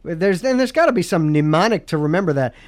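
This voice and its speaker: A man's voice: high voice, animated, fast. A man hosting a podcast, chatting casually in a rambling, joking way with lots of restarts. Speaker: podcast host. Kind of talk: casual comedic chat.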